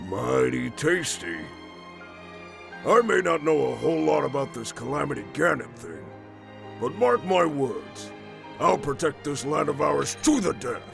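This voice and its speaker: A man's voice: on the high side, vocal fry, exaggerated voice. A man voicing a hearty, boastful, good-natured giant warrior who eats rocks, jovial and folksy, in a fantasy game dub. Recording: music behind.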